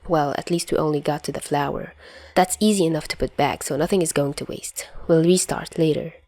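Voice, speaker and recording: A woman voicing a hot-tempered, grumbling teenage boy in the mafia, with a gruff, exasperated manner. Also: sits low, steady tone, a little fast, a little mellow.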